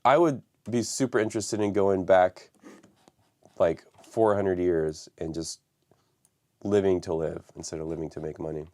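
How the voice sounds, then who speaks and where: Guy with a raspy flu voice rambling on a live stream from his home studio, kinda low. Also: fairly even intonation, moderate pace, dark tone. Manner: rambling, many fillers. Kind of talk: casual live stream talk.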